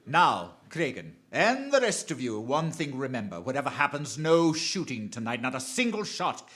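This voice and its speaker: A man's voice: mid-range voice, big pitch swings, fairly bright tone. Man acting a coolly menacing crime boss, wide-swinging delivery. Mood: menacing command. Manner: deliberate, authoritative, formally polite villain. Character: male criminal mastermind, coolly commanding, menacingly polite. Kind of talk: theatrical radio drama acting.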